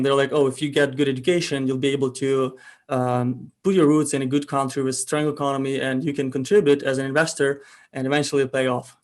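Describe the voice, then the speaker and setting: A young man from Ukraine, telling his story as a guest in a casual interview, relaxed and thoughtful with frequent pauses. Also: medium pitch. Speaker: guest entrepreneur.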